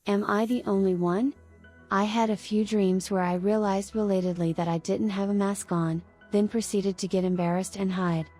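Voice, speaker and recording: A woman's voice: medium pitch, fairly even intonation, normal speed, mellow, faint music behind. A woman reading a short dream story aloud in a light, confessional way. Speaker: reader.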